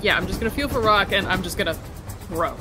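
A woman's voice: mid-range voice, fast. Casual woman gamer over music. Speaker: tabletop game player. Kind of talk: casual game chat.

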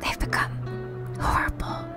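A woman voicing a small, excitable, anxious firefly in a game, in a breathy, questioning whisper. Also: measured pace, music in the background, natural voice. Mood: distressed. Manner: exclamatory, questioning.

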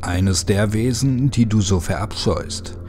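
A man voicing a menacing, scornful dark entity in a horror story, speaking in a slow, ominous, imperious way. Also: lowish voice, faint music behind. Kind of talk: horror audiobook character voice.

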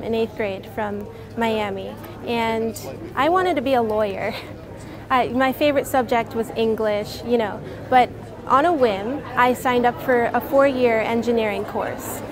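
A teenage girl talks eagerly about her project, her voice a touch high and full of casual fillers. She speaks into a lapel mic, and a crowded convention hall murmurs clearly behind her. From New Orleans, Louisiana. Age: teens. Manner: eager, chatty, many "you know" fillers. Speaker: science fair student. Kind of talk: casual interview answers.

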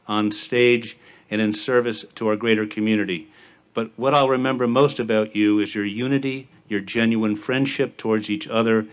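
A middle-aged man, a school headmaster, giving a formal commencement address at a podium outdoors, speaking in measured, rhythmic lists. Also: mid-range voice. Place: outdoor stage at a campus ceremony. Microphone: podium microphone with PA.

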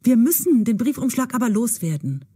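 A self-assured young sleuth in a radio play. Her voice is very high and mellow, with some breathiness. Her intonation swings widely. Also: big pitch swings, fairly quick, slightly rough. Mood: curious, cautious. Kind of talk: audio drama acting.

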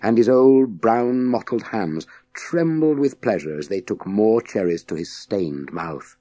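Deep-voiced male storyteller, slow and expressive. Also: no room sound. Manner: measured, dramatic delivery with deliberate pauses. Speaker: audiobook narrator. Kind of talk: scripted storytelling narration of a children's science fiction novel.

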